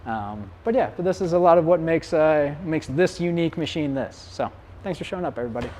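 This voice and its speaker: Slightly high-voiced man, hoarse. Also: expressive, fast, darkish tone. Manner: loose, conversational explaining. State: voice getting hoarse. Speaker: bike shop clinic instructor. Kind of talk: live-streamed community clinic talk.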